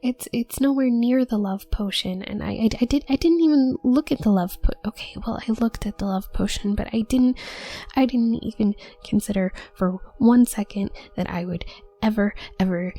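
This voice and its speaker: A woman playing a shy, awkward young witch student in an audio roleplay, speaking softly and breathily with a nervous, halting stammer. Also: on the high side. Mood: flustered, anxious. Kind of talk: roleplay audio drama addressed to the listener.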